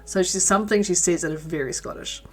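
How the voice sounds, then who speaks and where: A woman's voice: fairly low pitch, fairly quick, a little mellow, soft background music. Chatty woman from New Zealand. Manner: chatty, rambling, reads out and answers chat; muttering stitch counts under her breath. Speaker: crochet livestream host. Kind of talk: casual chat while crocheting.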